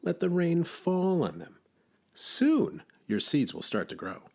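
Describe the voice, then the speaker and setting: A patient, sensible frog from a children's storybook, acted by a man reading aloud. The voice is raised high and a little husky, swinging melodically as it offers kindly advice. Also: very animated, slow, full character voice. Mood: reassuring. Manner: patient, advising.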